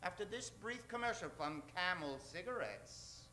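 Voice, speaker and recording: A man's voice: high voice, lively intonation, moderate pace, bright, forceful tone, slight background noise, low-quality recording. A man narrating and announcing in a theatrical old-time radio style, melodramatic and playful.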